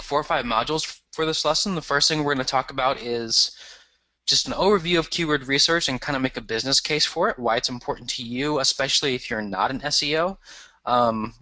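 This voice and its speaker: Bright-voiced man teaching with a cold. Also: medium pitch, dry recording, poor audio. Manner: casual, explanatory, fillers and restarts. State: has a cold. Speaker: guest expert teaching a webinar. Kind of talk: webinar lesson over slides.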